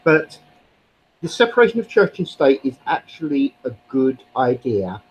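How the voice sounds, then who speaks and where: A man's voice: medium pitch, very animated, moderate pace, husky, lo-fi sound. A British man arguing politics on a live stream in an opinionated, lecturing way. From Britain. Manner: opinionated, lecturing, uses actually a lot. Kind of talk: casual political argument.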